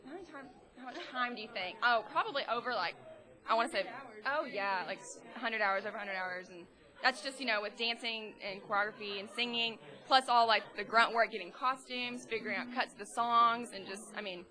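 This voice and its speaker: Bright bubbly young woman. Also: a little high, brisk. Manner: bubbly, laughs often. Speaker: student performer. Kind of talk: casual interview.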